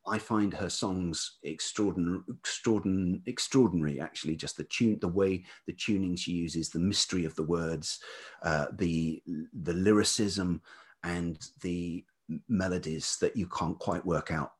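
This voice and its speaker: A man speaking as an interview guest in reflective, casual conversation, halting thoughtfully as he searches for words. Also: low voice, breathy edge. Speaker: interview guest, writer and musician.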